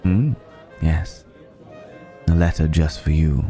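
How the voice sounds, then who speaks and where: A man playing a suave, flirtatious, ageless vampire in an intimate ASMR roleplay, speaking in a courtly, teasing way with lingering pauses. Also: extremely low pitch, warm tone, soft background music, some chatter behind. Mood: playful, celebratory. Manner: leisurely, courtly, teasing, with hesitant pauses and hums.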